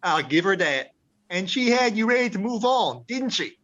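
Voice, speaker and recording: Through slightly dull audio, a man acts a kindly old ghost in a radio play. His voice is bright and lively in pitch, and he persuades gently. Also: normal speed. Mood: gently persuasive. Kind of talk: amateur radio drama acting. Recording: dull lo-fi sound.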